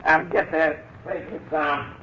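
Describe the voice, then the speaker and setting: A gruff deliveryman, blunt, plain-spoken, hissy muffled old radio. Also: a little high, fairly even intonation, slow pace. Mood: businesslike. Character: gruff, blunt working-class delivery man. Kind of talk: 1940s radio acting.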